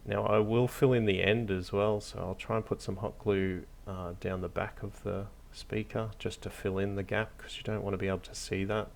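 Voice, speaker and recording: A man hosting a hobby tutorial, narrating casually off the cuff in a hesitant, low-key, encouraging way. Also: flat delivery, moderate pace, creaky, few pauses, a little hiss or hum. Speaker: hobby craft tutorial host.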